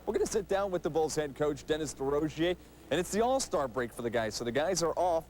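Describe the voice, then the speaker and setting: A man's voice: a little high, animated, brisk, a little mellow, a little hiss or hum. A man presenting a hockey show in a polished announcer delivery, speaking to viewers and interviewing. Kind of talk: upbeat scripted TV presenting and interviewing.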